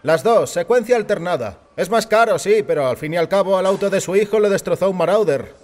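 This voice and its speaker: A man's voice: high voice, expressive, fairly quick, a little mellow, a little hiss or hum, pitched above the performer's own voice. A man voicing a burly Chicano car mechanic in an audiobook, respectful, obliging and businesslike. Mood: matter-of-fact. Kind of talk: audiobook character voice.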